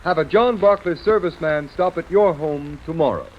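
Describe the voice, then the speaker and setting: Higher-pitched vintage radio announcer man. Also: normal speed, soft background music. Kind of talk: formal 1930s radio announcing. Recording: dull, noisy vintage radio recording.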